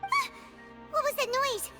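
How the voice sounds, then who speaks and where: A woman voicing a tiny, childlike, excitable fairy companion who talks about herself in the third person, in a game. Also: extremely high pitch, a little slow, exaggerated voice. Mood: curious. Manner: speaks of self in third person. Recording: music behind.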